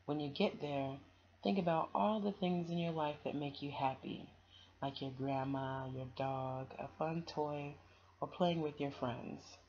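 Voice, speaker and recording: A woman's voice: deep voice, measured pace, highs cut off. A woman doing a children's storytime, reading and chatting to listeners in an encouraging, affectionate way.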